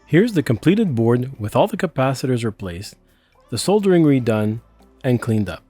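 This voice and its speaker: A man's voice: mid-range voice, sing-song, normal speed, warm tone, slightly rough. A man narrating a scripted repair voice-over as the host of a how-to series. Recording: dry close voice-over with music behind. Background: background music.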